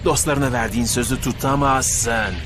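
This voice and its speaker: A man playing a sadistic, gloating villain in a dubbed anime cartoon, taunting with drawn-out theatrical menace. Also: mid-range voice, steady tone, lightly acted. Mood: gloating, cruel. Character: sadistic gloating male villain Beyblade rival. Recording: music behind the voice.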